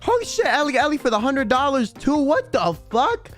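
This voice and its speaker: A man's voice: extremely high pitch, sing-song, normal speed, fairly bright tone. A young man streaming a casual late-night chat, rambling in slang and reading donations aloud. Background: faint background music.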